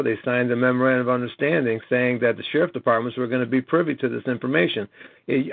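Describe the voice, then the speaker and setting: A man's voice: mid-range voice, gravelly, dry recording. Older American man, tinny phone line. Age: late 60s. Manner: emphatic, repeatedly checking with "okay?". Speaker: caller. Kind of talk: rambling earnest conference-call talk. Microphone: telephone.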